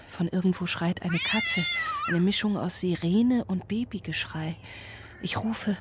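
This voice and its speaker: An actress playing a guilt-ridden single mother in a radio drama, speaking a poetic, deliberate inner monologue. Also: on the low side, huge pitch swings, heavy background noise. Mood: haunted, searching. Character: guilt-ridden single mother searching for her son at night. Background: music.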